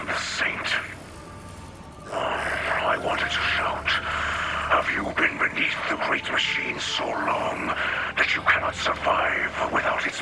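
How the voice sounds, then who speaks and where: Guy doing a gentle alien leader for a game, breathy raspy whisper, really slow and formal, music behind. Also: medium pitch, close to an everyday voice, low-quality recording. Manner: measured, formal, halting phrasing. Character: solemn, gentle alien leader of a refugee people. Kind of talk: dramatic video game cutscene acting. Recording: loud music under the voice.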